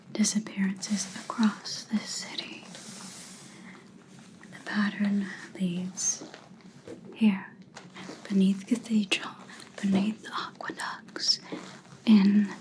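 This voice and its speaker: A woman's voice: medium pitch, flat delivery, rough recording. A woman playing a grim, vengeful vampire hunter in an ASMR roleplay, whispering breathily and menacingly close to the microphone. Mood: cold, knowing. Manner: slow, deliberate, menacing.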